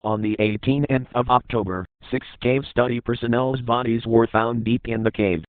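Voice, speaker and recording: A man narrates a scripted horror story as a documentary report, at an average pitch. The sound is thin and narrow, like a phone line, with very little room in it. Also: steady tone, normal speed, no echo.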